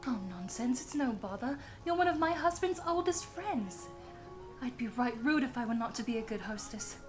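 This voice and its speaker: A woman's voice: fairly high pitch, lively intonation, moderate pace, faint music behind, natural voice. A woman playing a gracious, teasing young Victorian wife and hostess in a scripted audio roleplay, with polite, playful phrasing. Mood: welcoming.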